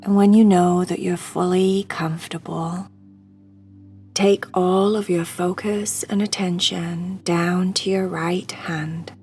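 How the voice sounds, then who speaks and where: A woman guiding a sleep meditation in a hushed, soothing, breathy voice that often nears a whisper. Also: on the low side, fairly even intonation, takes it slowly, soft background music. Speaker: meditation guide.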